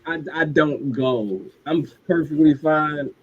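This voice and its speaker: A man's voice: on the high side, takes it slowly, husky, highs cut off, soft background music. An eighteen-year-old man giving brief, laid-back answers as a guest in a casual chat.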